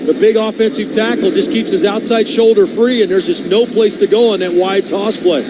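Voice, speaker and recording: A high-pitched American man works as a football color commentator. He explains play conversationally, talking through loud stadium noise. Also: steady tone, moderate pace. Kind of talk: live football analysis.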